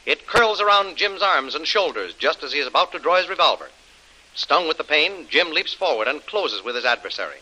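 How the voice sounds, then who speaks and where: A man's voice: a little high, sing-song, a little fast, sharp tone, full character voice, poor audio. A man narrating an old-time radio adventure serial in a dramatic storytelling style.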